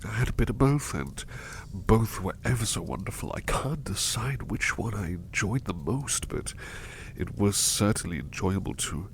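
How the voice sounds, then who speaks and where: A man voicing a sickly young male butler, speaking in a soft, whispery, courteous way close to the mic while resting in bed unwell. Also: fairly low pitch, lively intonation, moderate pace, creaky, slight background noise. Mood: gentle, grateful. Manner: courteous and formal, hesitant restarts. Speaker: voice actor. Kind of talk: ASMR roleplay.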